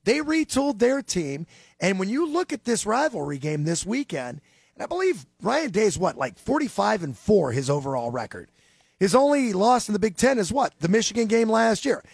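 A man co-hosting a sports talk radio show, sounding nasal and stuffed up, talking sports calmly. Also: sits high, moderate pace, creaky, slightly rough, no room sound, clean studio sound.

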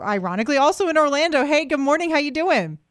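Lively woman radio host, dry studio sound. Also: sits high, dry recording. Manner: animated, quick to laugh. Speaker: radio morning show host. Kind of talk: morning radio banter. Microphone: studio microphone.

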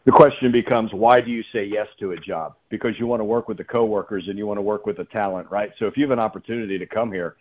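A man's voice: fairly low pitch, quick pace, few pauses, slight background noise. A man giving sports radio analysis as a play-by-play commentator, emphatic, often repeating words and asking rhetorical questions. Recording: muffled phone-like radio line.

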